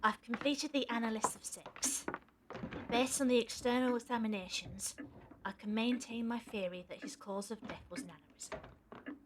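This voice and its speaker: Audio drama role, actress: rational female scientist, precise, cutting, higher-pitched, on a noisy recording. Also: steady tone, moderate pace, lightly acted. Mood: clinical. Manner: precise, pedantic. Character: clinical, sharp-tongued female scientist, coolly rational.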